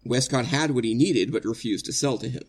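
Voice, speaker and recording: An actor playing an anguished innocent man facing execution in a 1940s-style radio drama, earnest and halting, stammering with emotion. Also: medium pitch, breathy edge, natural voice. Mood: earnest, uneasy.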